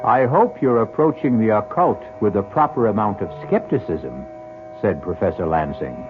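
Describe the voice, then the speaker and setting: A man narrates a vintage radio mystery with deliberate, theatrical suspense. His voice is low and expressive over prominent music, on a narrow old broadcast recording. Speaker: host and narrator.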